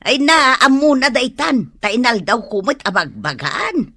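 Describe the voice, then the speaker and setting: A woman's voice: on the high side, sing-song, a little slow, pushed and bright, dry recording, clean studio sound, full character voice. An actress playing a plaintive, nagging elderly mother with an Ilocano Filipino accent in a radio drama.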